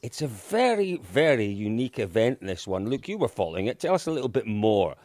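A Scottish man with a fairly low, creaky voice hosts a podcast chat. His intonation moves around animatedly, and the recording is dry and close. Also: very animated, brisk, a little mellow, no room sound. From Dundee, Scotland. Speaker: podcast host. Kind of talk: casual chat, hosting a motorsport podcast.

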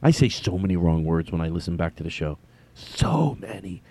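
Male solo podcast host, rambly, self-correcting, very animated pitch. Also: normal speed. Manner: rambling, self-correcting, jumps between thoughts. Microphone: headphones on, studio mic.

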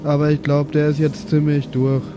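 A man's voice: fairly high pitch, monotone, normal speed. A man improvising in character as a tabletop role-playing player, casual and colloquial, with music behind him.

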